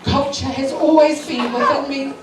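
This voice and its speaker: A woman's voice: sits high, steady tone, moderate pace, over music. A woman with a New Zealand accent, addressing a school assembly and giving emotional thanks with frequent fillers. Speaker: school culture week organiser. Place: school hall. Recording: echoey hall, far from mic. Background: hall ambience and noise.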